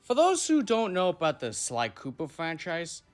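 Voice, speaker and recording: A man's voice: fairly high pitch, huge pitch swings, moderate pace, faint music behind. A man giving a casual explainer as a guest commentator on a video.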